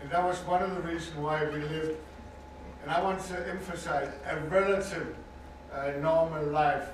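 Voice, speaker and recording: An older man from Denmark with a Danish accent gives earnest, measured personal testimony as a survivor speaking to an audience, stressing points by repeating words. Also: fairly high pitch, fairly even intonation, slight background noise. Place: university lecture hall with audience. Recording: echoey hall recording from far off.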